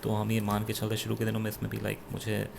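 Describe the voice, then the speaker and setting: Low, gravelly Indian man reviewing gadgets. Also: completely flat delivery, noisy background. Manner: halting, self-correcting. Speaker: product reviewer. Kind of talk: unscripted gadget review, mixing Hindi with English.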